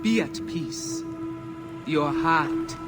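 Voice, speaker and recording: Solemn game consoler: extremely slow, raised pitch, incantatory, heavy music. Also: male voice, lively intonation. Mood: solemn, soothing. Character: solemn man consoling with ritual words. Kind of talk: dramatic video game acting. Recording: very loud music and rain.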